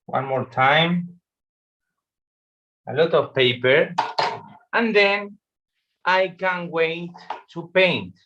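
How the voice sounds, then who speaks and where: A man teaching watercolor painting in a live online demo, speaking halting with many pauses, on a video call. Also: a little high, pushed and bright. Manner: halting non-native English with many pauses. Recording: slightly dull lo-fi stream audio.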